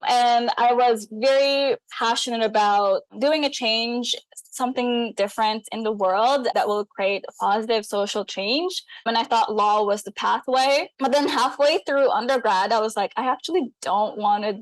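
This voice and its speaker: A young woman from the Philippines speaks as a guest in a relaxed interview, earnest and upbeat, laughing often. Her voice sits on the higher side. The sound is dry and close to the mic. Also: moderate pace, no echo, low-quality recording. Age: young adult. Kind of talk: casual interview.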